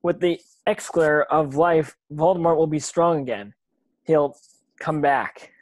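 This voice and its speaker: A man's voice: on the high side, unhurried, a little mellow, dry recording, close to an everyday voice. A man playing an earnest, brave schoolboy wizard in an amateur screenplay table read. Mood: urgent.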